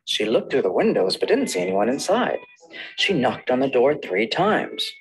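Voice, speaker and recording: A male librarian leads a children's story time, telling fairy tales with a lively voice that swoops up and down. He sounds gentle and talks straight to the children, with faint music behind him. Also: mid-range voice, normal speed, low-quality recording. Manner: gentle, sing-song storytelling, addresses children directly.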